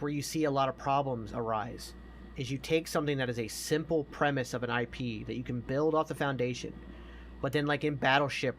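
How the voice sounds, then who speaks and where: Opinionated man podcasting, slight noise. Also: mid-range voice. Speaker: podcast co-host. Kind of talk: casual opinionated podcast discussion.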